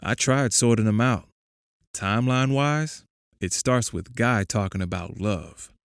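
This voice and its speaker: A man's voice: lowish voice, unhurried, clear, resonant tone, dry recording, studio quality. A man playing a laconic, probing confidant in an audio drama, speaking in terse, clipped phrases. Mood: pensive. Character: laconic, probing male confidant who follows conspiracies. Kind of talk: audio drama, conversational dialogue.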